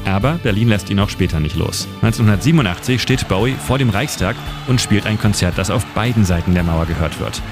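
Lowish-voiced man narrating over guitar music. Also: expressive, fast, few pauses. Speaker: radio editor and city guide presenting a history segment. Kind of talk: scripted radio storytelling. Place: studio. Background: music bed with guitar.

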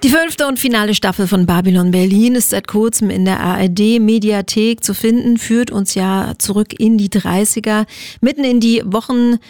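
Gravelly-voiced woman radio host. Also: medium pitch, normal speed, a little mellow, no room sound, studio quality, faint music behind. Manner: chatty, informal, addressing listeners directly. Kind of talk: casual radio presenting.

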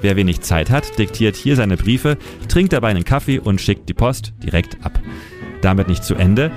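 A man's voice: on the low side, lively intonation, moderate pace, music in the background. A man presenting as a city guide, narrating a scripted historical story for radio.

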